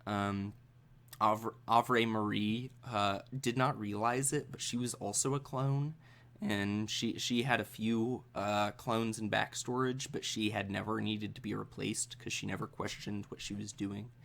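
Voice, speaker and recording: A man working as game master, improvising the narration of a tabletop role-playing game in a halting, thinking-aloud way. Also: on the low side, fairly even intonation, vocal fry, a bit bright.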